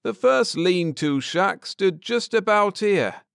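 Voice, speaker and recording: A kindly space pilot husband in an audiobook, read by a man, earnest and nostalgic. The voice rises above plain narration with lively swings and a clean, smooth tone. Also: high-pitched, slow pace, dry recording, clean studio sound, pitched above the performer's own voice, heavily acted. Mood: satisfied. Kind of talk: audiobook character dialogue.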